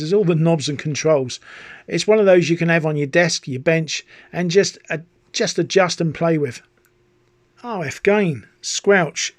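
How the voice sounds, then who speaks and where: A middle-aged British man talks through a hobby demonstration in a slightly high voice. He is chatty and keen, informal in delivery. The sound is close and dry, with very little room. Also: no echo. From United Kingdom. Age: middle-aged or older, over 40. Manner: enthusiastic, chatty. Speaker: radio hobbyist presenter. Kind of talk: casual product review and demonstration.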